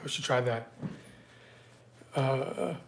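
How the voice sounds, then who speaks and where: A man's voice: mid-range voice, very slow, gravelly, a little hiss or hum. A man with a stuffy nose from a cold, hosting a live trading stream in a loose, filler-heavy way and greeting viewers by name. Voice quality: slightly congested. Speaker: day trading livestream host. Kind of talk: casual live market prep walkthrough.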